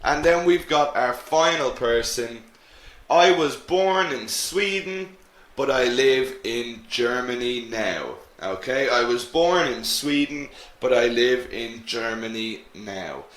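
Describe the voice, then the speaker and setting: A man teaching an English grammar lesson to students in a slow, clear way. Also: medium pitch, lively intonation, fairly bright tone, reverberant.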